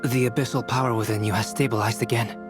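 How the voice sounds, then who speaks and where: Actor as a composed young alchemist, mid-pitched and considered, faint game music behind. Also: male voice, steady tone, lightly acted. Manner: measured, thoughtful, polite. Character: calm, scholarly young male alchemist. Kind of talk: video game voice acting.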